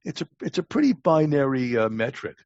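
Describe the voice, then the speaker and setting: A man in his sixties with a gravelly voice, telling stories casually as a podcast guest in a halting, wry way with repeated words. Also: mid-range voice, sing-song, darkish tone, no room sound. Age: 60s. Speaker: podcast guest, longtime lifeguard. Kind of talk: casual interview storytelling. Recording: dull, lo-fi close recording.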